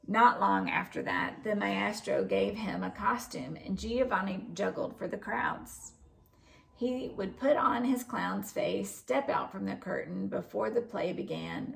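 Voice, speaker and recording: Very creaky woman reading a storybook. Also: medium pitch, a little slow, poor audio. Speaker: storybook reader. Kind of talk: expressive read-aloud children's story narration.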